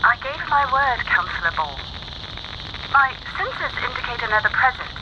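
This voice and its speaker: Actress playing regal female space admiral, radio effect, formal, widely swinging pitch, noisy transmission, audio drama. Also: very animated, normal speed, muffled recording. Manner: formal, authoritative. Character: commanding woman space admiral, regal, composed.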